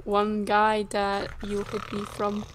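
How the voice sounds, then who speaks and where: A woman's voice: mid-range voice, completely flat delivery, slow, a little hiss or hum, natural voice. A woman playing a curious, confused young survivor in an improvised game roleplay, full of forthright questions. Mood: puzzled.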